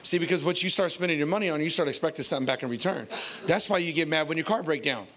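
An American male pastor delivers an informal sermon in a bright, forceful voice pitched a little high. He is animated and conversational, using colloquial phrasing and repeating phrases for emphasis. He speaks into a handheld microphone from a church stage. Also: very fast.

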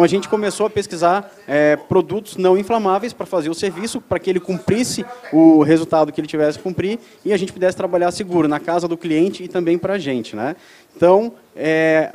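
A man in his thirties from Brazil presents products on a conference stage, talking into a handheld mic. His voice sits a little higher than most men's, and he explains step by step, pausing on fillers and restarting phrases. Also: a little mellow. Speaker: product speaker at a trade workshop. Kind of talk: sales presentation lecture. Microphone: handheld microphone.

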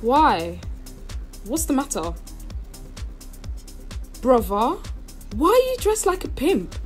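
A woman's voice: high voice, wildly swooping pitch, takes it slowly, soft background music, exaggerated voice. A woman playing a schemer's exasperated, suspicious sister in a theatrical Jacobean audio drama. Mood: demanding.

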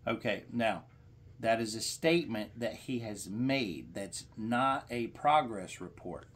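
Insistent middle-aged man, lecturing and halting. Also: on the low side, animated. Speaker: caller to a senator's office. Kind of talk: confrontational phone complaint. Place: small room indoors.

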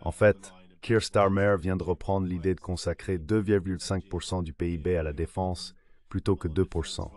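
A man's voice: low voice, a little mellow. A synthetic male voice delivering a translated voice-over of an interview guest's answers.

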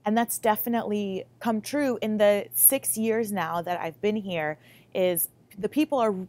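A young woman in her late twenties with an American accent speaks at a medium pitch. She answers interview questions as a student researcher, explaining things clearly and articulately. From Lithuania, moved to the US Midwest at about eight. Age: late 20s. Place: laboratory, indoors. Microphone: lapel mic.

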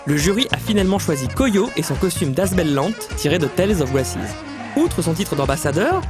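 A man narrates a convention report in a high, very animated voice that rises and falls freely. Music plays clearly under his scripted voice-over, and his delivery stays upbeat.

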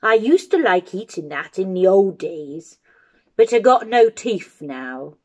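A woman voicing a very old, toothless giant in a storybook, gruff and grumbling, with booming, rustic, ungrammatical speech. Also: big pitch swings, takes it slowly. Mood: greedy, gloating.

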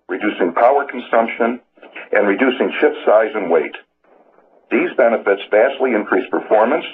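An older man, a chief executive, reading a prepared corporate statement in a formal way over a telephone. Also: on the low side. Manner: formal, scripted delivery. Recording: tinny phone line with background noise.

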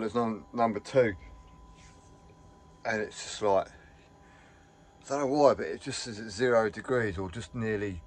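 A man chatting casually to camera from inside his camper van, rambling and enthusiastic, his voice a little hoarse. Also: fairly low pitch. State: slightly hoarse. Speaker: vlogger. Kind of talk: casual vlog chat.

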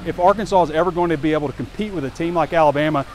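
Man in his forties, walk-and-talk phone recording, slightly high voice, faint street noise, candid and rambling. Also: very fast. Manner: candid, conversational, rambling with fillers. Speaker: sports site publisher. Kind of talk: casual walking commentary. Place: outdoors on a campus street near a stadium. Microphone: phone camera held close while walking.

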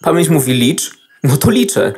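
A man's voice: fairly high pitch, big pitch swings, moderate pace. A man playing an overworked, literal-minded computer processor in a children's radio play, speaking in a mechanical, weary way. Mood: matter-of-fact, weary. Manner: mechanical counting, matter-of-fact, weary.

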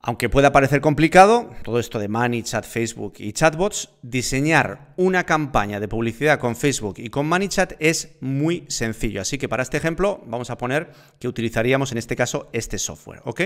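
A man's voice: mid-range voice, a little fast, few pauses. Man explaining step by step. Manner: explanatory, steady, walks through steps. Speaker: online business instructor. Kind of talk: screen-share tutorial. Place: indoor room, seated at desk.

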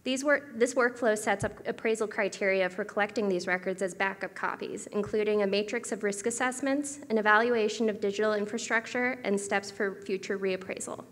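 A woman presenting archival work at a conference lectern in a steady, businesslike way. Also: mid-range voice, fairly quick, hardly any pauses. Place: large hall, at a lectern. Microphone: podium microphone. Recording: hall reverb.

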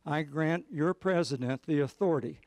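A man's voice: a little high, moderate pace, a little mellow, no echo. An older man from a state board giving short ceremonial remarks into a handheld mic, with dry humor. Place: plain indoor room.

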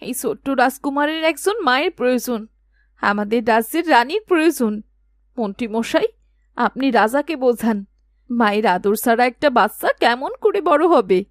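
A woman voicing a gentle, loving palace lady in a fairy-tale cartoon, with tender, emotive delivery. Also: a little high, animated, moderate pace, dry recording, studio quality, lightly acted. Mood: pleading. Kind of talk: dramatic cartoon dubbing.

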